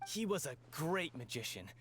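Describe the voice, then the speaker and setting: Animated, high-voiced gossiping townsman. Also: normal speed, slight background noise. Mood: enthusiastic. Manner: emphatic, folksy. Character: animated opinionated townsman, indignant, chatty gossip. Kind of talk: video game voice acting.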